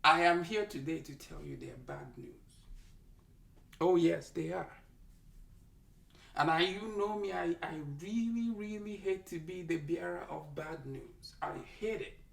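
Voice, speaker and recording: A doctor with a Nigerian accent lectures like a preacher, driving each point home and repeating it. The delivery is slow and the voice carries a gravelly edge. The sound is roomy and echoing, with the mic set some way off. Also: mid-range voice, expressive, fairly bright tone. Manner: emphatic, exhorting, repeats lines for effect. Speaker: doctor giving health advice. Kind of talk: preachy health talk to camera. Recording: echoey room, mic held at a distance.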